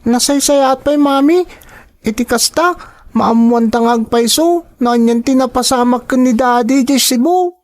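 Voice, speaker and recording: A young Filipino woman acting in a radio drama as a worried, devoted daughter who gently pleads with and comforts her mother. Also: high-pitched, a little slow.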